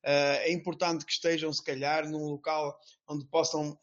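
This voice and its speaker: Man, host, monotone, raised pitch, hesitant, dull lo-fi audio. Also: moderate pace. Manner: hesitant, many fillers. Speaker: host introducing the speaker. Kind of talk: online presentation introduction.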